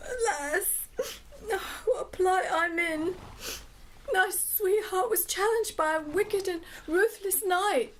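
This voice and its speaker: Woman acting weepy young damsel, super high voice, scared n sobbing, bit of noise behind. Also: slow. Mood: tearful, despairing. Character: grieving young damsel, fearful for her sweetheart. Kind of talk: audio drama acting.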